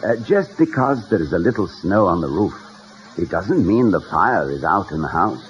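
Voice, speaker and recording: An actor playing a courtly, erudite college president, wordy, hesitant and gently witty, in a 1950s radio comedy. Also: male voice, sits low, big pitch swings, normal speed. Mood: amused. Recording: dull, hissy old radio recording.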